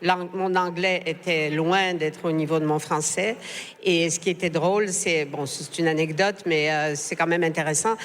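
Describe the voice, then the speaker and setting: A woman's voice: low-pitched, steady tone, normal speed. Hesitant woman journalist, reverberant hall. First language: not French. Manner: hesitant, frequent fillers and restarts. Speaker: journalist on a panel. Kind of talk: live panel discussion. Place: book fair stage.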